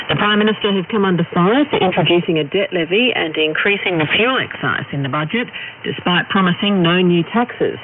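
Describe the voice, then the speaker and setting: Australian man, radio newsreader. Scripted bulletin delivery, thin narrow-band shortwave with clear static. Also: sits high. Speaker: news reader.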